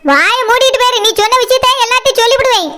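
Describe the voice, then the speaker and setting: A woman voicing a stubborn, snappy village woman in a cartoon comedy, with a Kanyakumari Tamil accent and a pitched-up voice. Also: animated, racing, sharp tone, heavily acted. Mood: angry, defiant. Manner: snappy, dismissive, argumentative. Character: brusque, stubborn village woman, fiercely protective older sister. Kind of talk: animated village comedy dialogue.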